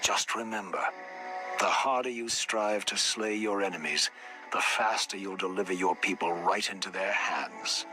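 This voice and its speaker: Man acting a low-voiced, portentous prophet over music. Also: moderate pace, natural voice. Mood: grave warning. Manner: solemn, portentous, oratorical. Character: mysterious male prophet, grave and urgent doomsayer. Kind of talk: video game cutscene voice acting. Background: loud music.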